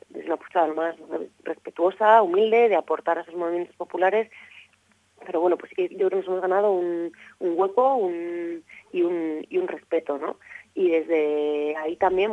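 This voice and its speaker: A woman's voice: on the low side, no echo. Castilian woman activist on a phone line. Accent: Castilian Spanish. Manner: hesitant, discursive, frequent tag questions. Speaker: political activist being interviewed. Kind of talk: spontaneous interview answers. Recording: muffled narrow phone-line sound.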